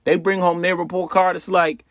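A man's voice: high voice, flat delivery, normal speed, fairly bright tone, dry recording, clean studio sound. A man hosting an astrology talk in a casual, conversational way, repeating phrases and asking rhetorical questions. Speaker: astrology channel host. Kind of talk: casual explanatory monologue.

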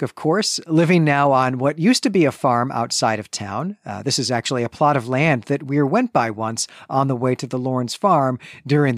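A man's voice: mid-range voice, no echo, clean studio sound. Thoughtful man recapping a novel. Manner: thoughtful, measured, with frequent self-corrections. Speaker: podcast co-host. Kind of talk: literary discussion podcast, summarizing chapters.

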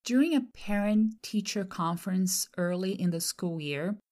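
A woman from Brazil whose first language is Portuguese, hosting a podcast and telling a personal story in a reflective, expressive way. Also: mid-range voice, moderate pace. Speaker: podcast host and translator. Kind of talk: personal monologue with an ad read.

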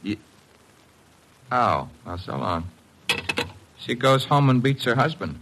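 A man's voice: medium pitch, animated, slow pace, gravelly, background noise. An actor playing a breezy, wisecracking male detective's assistant in an old-time radio drama, with a wry, informal way of talking. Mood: breezy, flippant.

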